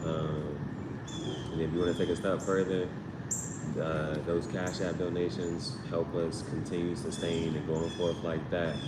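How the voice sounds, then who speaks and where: Deep-voiced man rambling in a roomy, noisy recording. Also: little pitch movement, measured pace. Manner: repetitive, tangential, slangy asides. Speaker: online tarot reader and live stream host. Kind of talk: rambling casual live stream chat. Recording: roomy, distant mic, noisy background with vehicle rumble.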